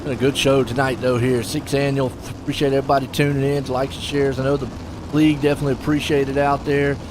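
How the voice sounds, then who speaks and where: A man's voice: medium pitch, flat delivery, fast talker. A man hosting and commentating a sim race stream, chatty and folksy, joking with his co-host. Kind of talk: casual sim racing commentary. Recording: noisy broadcast mix with engine noise.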